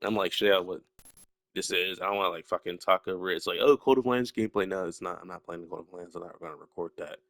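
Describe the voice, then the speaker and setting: A man's voice: low voice, quick pace, a bit bright, no room sound, clean studio sound. A young man with a stutter, talking casually and at length as a solo video creator, full of fillers and restarts. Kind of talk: rambling casual monologue.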